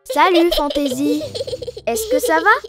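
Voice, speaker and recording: A woman voicing an excitable, bossy little girl with babyish, exclamatory delivery in a French cartoon dub for young children. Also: high voice, unhurried. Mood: playful, sly. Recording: music behind the voice.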